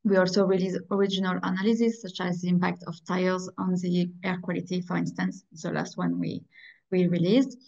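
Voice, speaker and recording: A young woman with a strong French accent presents a webinar over a video call, speaking carefully and a little haltingly, in dull lo-fi call audio. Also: fairly low pitch, level tone, gravelly, darkish tone. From France. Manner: careful, slightly halting, apologetic.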